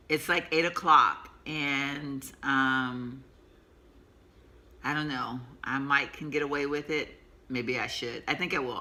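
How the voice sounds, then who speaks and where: Woman in her 50s, very bright tone, rambling chatty vlogger with a cold coming on. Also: sits low. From Texas, USA. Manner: rambling, chatty, laughs often. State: coming down with a cold, sore throat. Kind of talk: casual chatty vlog. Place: sitting at home.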